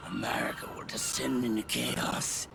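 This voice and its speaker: A man playing a dying old soldier villain in a video game, speaking in slow, halting, theatrical pronouncements with a gravelly, whispery rasp. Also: mid-range voice, steady tone. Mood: grandiose, prophetic. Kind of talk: dramatic video game cutscene acting.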